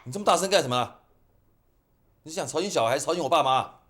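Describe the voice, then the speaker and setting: A man's voice: fairly high pitch, quick pace, a bit bright, close to an everyday voice. A man acting in a TV drama as an evasive, irritable, self-justifying husband. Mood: irritated. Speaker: actor in a family drama.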